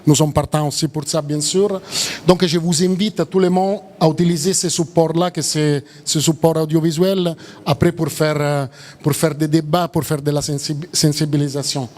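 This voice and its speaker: A man with a strong Italian accent giving public remarks as a cooperation official, halting and repeating words with drawn-out pauses. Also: a little high, steady tone, darkish tone.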